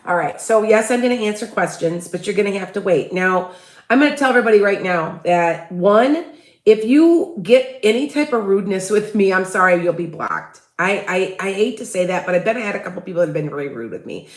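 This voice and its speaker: Host, female, Chicago accent, mid pitch, loose chatty restarts, echoey home room. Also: animated, brisk. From Midwest US. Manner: rambling, many restarts, asks viewers for tips. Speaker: psychic livestream host. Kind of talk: casual livestream chat.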